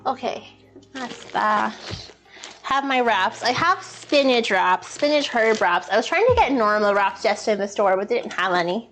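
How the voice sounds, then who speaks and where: A woman's voice: on the high side, lively intonation, normal speed, a bit bright. A woman with an Irish accent chatting casually and playfully while hosting a live cooking stream. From Ireland. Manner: chatty, playful, reads out viewer messages. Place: indoors, in a kitchen. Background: music.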